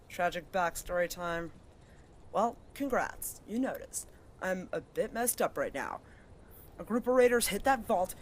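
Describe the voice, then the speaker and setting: A woman's voice: mid-range voice, slight background noise, natural voice. A woman playing a brash, tough wasteland wanderer who talks bluntly and curses. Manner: blunt, cursing, sharp-tongued.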